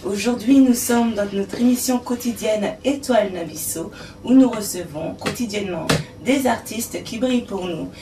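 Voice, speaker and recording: A woman's voice: medium pitch, normal speed, over music. A woman hosting a TV interview show, speaking in a formal, flowery way. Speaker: TV show host. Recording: echoey room, distant mic, faint noise.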